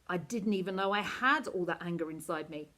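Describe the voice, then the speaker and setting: A woman narrating a children's novel aloud in an expressive, animated teacher's reading style. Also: lowish voice, fairly quick.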